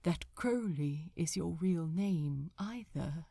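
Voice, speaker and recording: A woman voicing a gentle, grieving male shipbuilder with a breathy, cracked voice in an audiobook. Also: on the low side, lively intonation, slow pace, no room sound, clean studio sound, pitched below the performer's own voice, exaggerated voice. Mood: tremulous.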